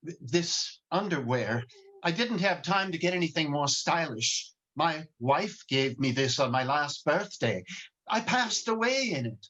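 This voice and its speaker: A man playing a gentle, earnest, bumbling guardian angel with a hesitant, genteel manner in 1940s radio drama style, recorded over a video call. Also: on the high side, lively intonation, a little slow, heavily acted. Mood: flustered, earnest. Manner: hesitant, old-fashioned, genteel. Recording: dull, muffled video-call audio.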